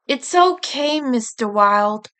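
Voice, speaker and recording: Lady doing a worried pig nurse from a storybook, caring, high voice, takes her time. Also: expressive, slow pace, clear, resonant tone, full character voice. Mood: anxious, comforting. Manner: concerned, gently comforting.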